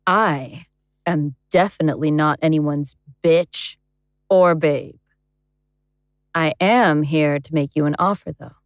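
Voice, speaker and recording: A woman playing an ancient, powerful elder god in human guise, speaking with deliberate, imperious menace in a horror audio drama. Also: low-pitched, no room sound, clean studio sound. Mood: commanding, confident.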